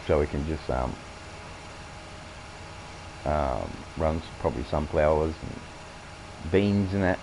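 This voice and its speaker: A man with an Australian accent, croaky from coughing, rambling through farming-game commentary with many halting fillers. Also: a bass voice, animated, background noise. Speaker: gameplay streamer.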